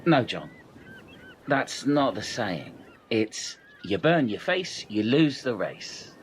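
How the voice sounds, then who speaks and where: Man as a sarcastic, animated sceptical castaway. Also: mid-range voice, takes it slowly, slight background noise. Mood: correcting. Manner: dry, sarcastic, pedantic. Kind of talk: comic radio play acting.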